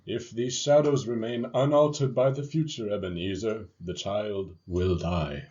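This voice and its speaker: A man's voice: lowish voice, steady tone, a little slow, dark tone, muffled recording, natural voice. A man playing a grave, solemn spirit who speaks foreboding prophecies in an audio drama.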